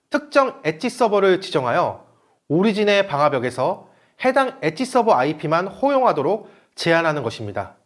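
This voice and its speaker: A man giving a scripted webinar presentation in a formal, explanatory manner. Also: sits high. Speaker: presenter, company manager.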